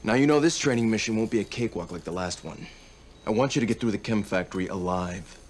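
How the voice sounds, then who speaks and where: An actor playing a tough, sardonic officer and stern older brother in a video game cutscene, gruff and commanding. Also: male voice, fairly low pitch, fast, slight background noise, natural voice. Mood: gruff, instructing.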